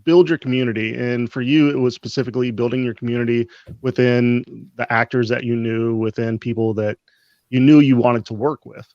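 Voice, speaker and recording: A man hosts a relaxed interview, trailing off with "uh" and "you know". His voice comes through dull and dry, as over a video call. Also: medium pitch, brisk, no echo. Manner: relaxed, with fillers. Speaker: host. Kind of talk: casual interview.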